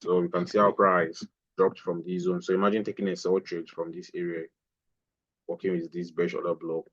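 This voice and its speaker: A very low, flat-voiced man walks through a trading chart on a live online class, restating terms as he points at candles. His voice comes through a dull, lo-fi stream. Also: a little fast. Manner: explanatory, repeats phrases while pointing at a chart. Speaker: trading instructor.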